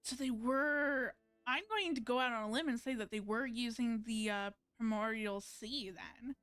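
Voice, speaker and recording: A woman's voice: a little high, normal speed, fairly bright tone, clean tone, no room sound, soft background music. A woman giving casual live gameplay commentary, musing and thinking aloud in a hesitant way. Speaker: game streamer.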